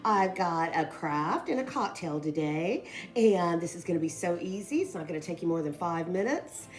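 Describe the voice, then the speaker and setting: A woman who is losing her voice, hoarsely chatting her way through a casual craft tutorial in a rambling, informal way. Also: lowish voice, lively intonation, normal speed. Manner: rambling, informal, addresses viewers as guys. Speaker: craft video host.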